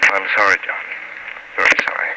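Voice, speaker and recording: A man playing a poised, educated gentleman hero, calm, friendly and concerned, in 1940s radio style. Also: brisk, natural voice. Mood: sympathetic. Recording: noisy, hissy old radio broadcast.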